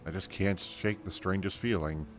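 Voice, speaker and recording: A man voicing a brooding, troubled amnesiac warrior in a video game, solemn and halting. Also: low voice, fairly even intonation, faint music behind, lightly acted. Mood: uneasy.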